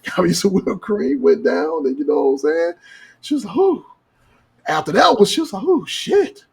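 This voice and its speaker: A man with a husky voice telling a casual podcast story in slangy, profane talk, acting out the dialogue. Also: high-pitched, sing-song, normal speed. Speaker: podcast co-host.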